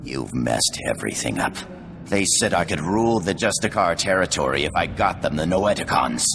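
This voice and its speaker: Gravelly, very deep outlaw boss. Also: male voice, expressive, normal speed, background noise. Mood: angry. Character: gruff, bitter male outlaw gang leader. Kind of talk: video game dialogue. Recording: cavernous reverb, music behind.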